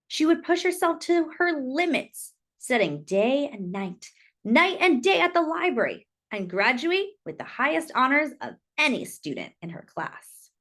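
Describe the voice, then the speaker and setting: Animated, high-voiced woman reading a storybook. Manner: dramatic, deliberate phrasing with drawn-out emphasis. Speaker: storyteller reading a picture book aloud. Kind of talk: expressive children's read-aloud narration.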